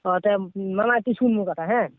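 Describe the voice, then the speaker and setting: A young man with a North Bengal accent argues casually on a call. His pitch is strikingly high and his words come quickly. The line is thin and tinny. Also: loud music behind the voice. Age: teens to young adult. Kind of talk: casual, argumentative phone chat. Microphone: phone call. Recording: tinny phone-line sound, dry.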